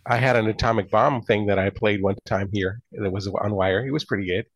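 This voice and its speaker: Male, informal conversational read, lowish pitch, background chatter clearly heard. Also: fairly even intonation, a little fast. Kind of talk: casual chat.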